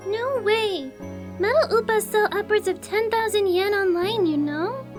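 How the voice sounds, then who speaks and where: A woman's voice: very high-pitched, unhurried, music in the background. A woman playing a sweet, childlike, airy anime girl in a visual novel fan dub, with a cutesy, childish delivery. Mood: pouty, protesting.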